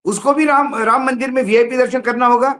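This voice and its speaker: Guy doing opinionated news commentary, high pitched, indignant, lots of rhetorical questions. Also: steady tone, a little fast, fairly bright tone. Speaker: political commentator on news show.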